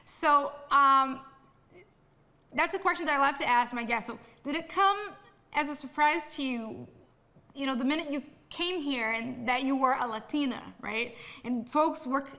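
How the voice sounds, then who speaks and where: A woman interview host with a high, very bright voice. She asks questions and affirms her guest, often ending her sentences with "right?". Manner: affirming, leans on "right?" tags. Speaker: podcast host.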